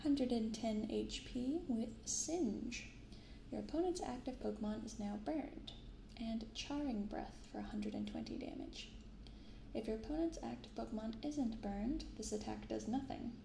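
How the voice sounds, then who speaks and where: Young woman, soft ASMR delivery, mellow timbre, roomy binaural recording, hushed, unhurried. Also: a little high, sing-song, creaky, a little hiss or hum. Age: young adult. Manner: unhurried, hushed commentary and reading from cards. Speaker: host of a card-opening channel. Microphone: binaural microphone.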